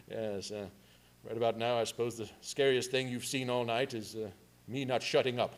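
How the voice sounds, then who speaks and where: A man's voice: medium pitch, fairly even intonation, moderate pace. A man hosting a community theatre show, giving a jokey, chatty introduction and announcements. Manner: jokey, chatty, breaks into chuckles. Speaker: theatre company host.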